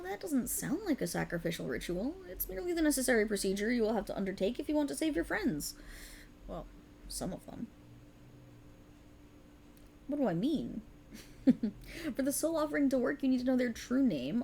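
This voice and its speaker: Girl doing a cruel dark deity for asmr roleplay, highish creaky voice, taunting and snooty. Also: very animated, brisk, pauses often, a little hiss or hum, exaggerated voice. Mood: imperious explaining.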